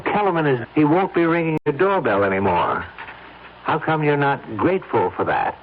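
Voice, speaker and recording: A man's voice: a little high, animated, moderate pace, natural voice. An actor playing a hard, cynical, confrontational man covering up a crime in an old-time radio drama. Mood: curt. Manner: curt, confrontational. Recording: noisy, tinny old radio recording.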